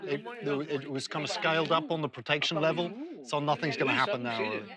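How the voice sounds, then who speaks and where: A man talks casually as a guest in a group. His voice is fairly high, and there is clear chatter around him. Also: fairly quick. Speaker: local guest at the table. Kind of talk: casual chat. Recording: lively group chatter, off mic.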